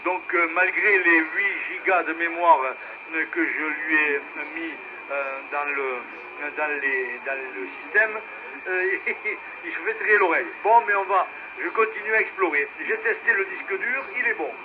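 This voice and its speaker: A man running an amateur radio net in a casual, halting way, heard over a two-way radio. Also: fairly high pitch. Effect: two-way radio transmission. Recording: noisy narrowband ham radio relay.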